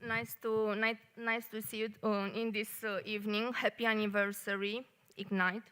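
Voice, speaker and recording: A woman from Romania with a Romanian accent, an engineer giving a short live talk at a café event, speaking carefully with many pauses. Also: mid-range voice, level tone, fairly bright tone, clean tone. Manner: careful non-native phrasing with many pauses.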